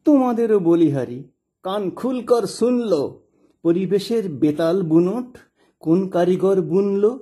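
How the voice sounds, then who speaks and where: A man reciting his own poem in an expressive, rhythmic manner. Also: sits high, husky. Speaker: poet reading own poem. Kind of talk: poetry recitation.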